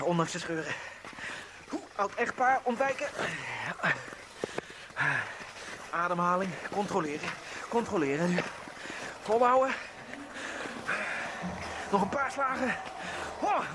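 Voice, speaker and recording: A man's voice: sits high, noisy background. An actor playing a lonely immigrant man obsessed with speed skating, narrating his thoughts in a wry, brooding radio-drama monologue. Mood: breathless effort. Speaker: first-person narrator of a radio play.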